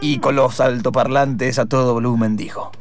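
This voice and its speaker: A man's voice: mid-range voice, steady tone, normal speed, lightly acted. A man playing a radio narrator and match commentator, repeating phrases in play-by-play fashion in improvised comedy. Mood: storytelling. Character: breathless football commentator turned storytelling radio narrator.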